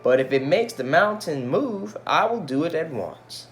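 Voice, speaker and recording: Man playing earnest male villager, exclaiming, animated pitch, storybook character, faint background noise. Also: unhurried. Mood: eager. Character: earnest, frustrated married villager eager to succeed.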